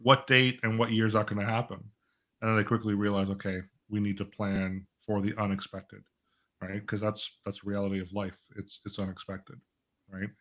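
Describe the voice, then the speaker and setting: A man's voice: on the low side, little pitch movement, normal speed, a bit bright. A man talking conversationally as a financial advisor on a panel, explaining things through little imagined dialogues and check-ins. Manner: explanatory, uses acted-out client dialogue and rhetorical check-ins. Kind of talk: conversational live panel talk.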